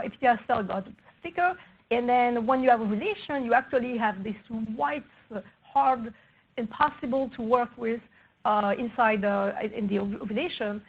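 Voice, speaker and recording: Brief: woman researcher, soft and slightly husky, fairly high voice, halting talk, muffled recording. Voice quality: soft, low-volume voice. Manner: hesitant, many fillers and restarts. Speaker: research scientist presenting at a workshop. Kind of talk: scientific talk presenting slides.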